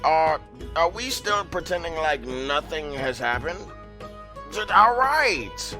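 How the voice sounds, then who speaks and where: A man's voice: on the high side, sing-song, takes it slowly, bright, forceful tone, soft background music, exaggerated voice. An actor playing a theatrical male keeper of tales who narrates from an afterlife tavern in a grandiose, sweeping manner.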